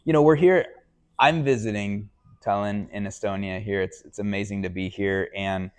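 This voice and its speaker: Low-voiced man hosting an interview. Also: fairly even intonation, normal speed, clear, resonant tone. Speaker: interviewer, show host. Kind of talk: conversational interview.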